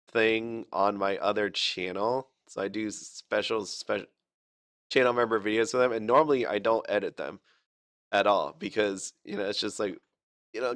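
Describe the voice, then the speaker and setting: A man's voice: lowish voice, a bit bright, clean tone, no echo, studio quality. A sick man rambling through casual gameplay commentary, chatty and self-deprecating, with lots of fillers and restarts. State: sick, fighting a cough. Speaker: gaming channel host.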